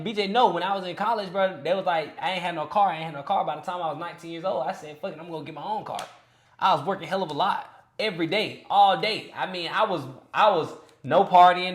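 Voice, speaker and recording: Man, 20s, casual podcast chat, slightly high voice, earnest slangy delivery, echoey room. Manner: loose and earnest, peppered with "you feel me" and "bro". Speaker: podcast speaker.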